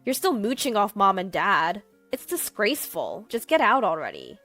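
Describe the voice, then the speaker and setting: A woman's voice: huge pitch swings, normal speed, a bit bright, soft background music. A woman voicing a mean, snide younger sister who mocks and bosses her sibling. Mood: mocking. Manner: mocking and bossy, shrill when upset.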